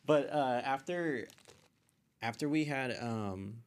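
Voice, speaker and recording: A man's voice: medium pitch, lively intonation, slow. A man chatting informally as a podcast guest, telling personal stories in a slangy, meandering way. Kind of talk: casual personal storytelling.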